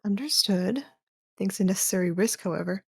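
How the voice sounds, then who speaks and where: A woman's voice: medium pitch, animated, brisk, vocal fry, a little mellow, husky, no room sound. A woman playing a cool, ruthless agent in an audio drama, clipped, businesslike and condescending.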